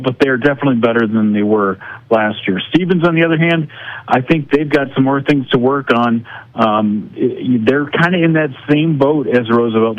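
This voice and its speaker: A man's voice: medium pitch, dry recording, highs cut off. An American man giving casual sports analysis as a guest broadcaster on a radio show.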